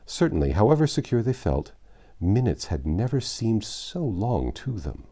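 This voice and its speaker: Deep-voiced man reading a classic novel expressively. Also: very animated, normal speed, mellow, slightly rough. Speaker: audiobook narrator. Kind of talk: scripted narration of a classic novel.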